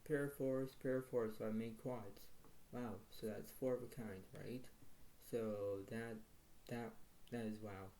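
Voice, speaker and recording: A man with a soft, quiet voice commenting on his own poker hands in a halting way, repeating himself and saying "wow". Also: lowish voice, steady tone, a little hiss or hum. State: slight tickle in throat. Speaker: poker video host. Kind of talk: casual play-by-play commentary.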